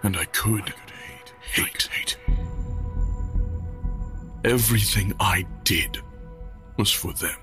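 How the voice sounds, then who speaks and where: Bitter exiled outcast, low and very slow, brooding audio drama monologue. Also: male voice, over music. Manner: halting, intense, brooding.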